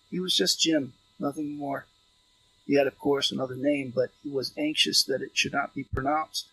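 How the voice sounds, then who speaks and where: Creaky-voiced man narrating a classic novel. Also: on the high side, pushed and bright, poor audio. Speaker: audiobook narrator. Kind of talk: literary audiobook narration.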